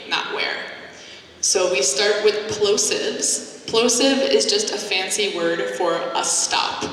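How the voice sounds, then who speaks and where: An American woman speaks slowly and patiently as she gives a lecture in a large hall. Her voice is recorded from far off and echoes heavily, with a long reverb trailing each word. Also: mid-range voice, fairly bright tone. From United States. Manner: slow, patient, demonstrating sounds aloud. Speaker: English teacher trainer. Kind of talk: phonetics lecture at a teacher conference.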